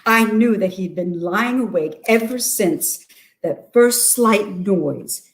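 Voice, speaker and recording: A woman acting a slow, gleefully mad murderer. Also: mid-range voice, expressive. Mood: hushed menace. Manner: deliberate, halting, hushed phrases with sudden emphasis and chuckles. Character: gleefully mad, cunning murderer confessing, insisting on sanity. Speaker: reader at a dramatic reading. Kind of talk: theatrical dramatic reading of gothic horror.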